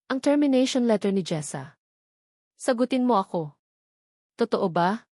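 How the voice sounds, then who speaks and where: A woman's voice: a little high, animated, measured pace, a little mellow, no echo, clean studio sound. A woman voicing a wounded, angry wealthy son in an audio drama, confrontational and demanding. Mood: confronting.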